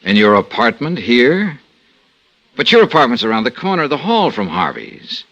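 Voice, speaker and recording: Old radio private eye, american, bright punchy voice, clipped and pushy, wry. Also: male voice, medium pitch, huge pitch swings, normal speed, lo-fi sound, full character voice. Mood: pressing. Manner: clipped, pressing, hard-boiled. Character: tough, persistent, wry male private investigator narrating his case. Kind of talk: 1950s radio detective drama acting.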